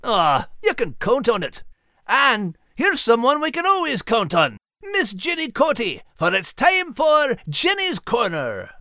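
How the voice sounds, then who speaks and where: A man's voice: extremely high pitch, wildly swooping pitch, measured pace, sharp tone, no echo, clean studio sound, pitched above the performer's own voice, full character voice. A man voicing a plucky, folksy terrier dog with a Scottish accent in a children's audio story. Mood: cheerful.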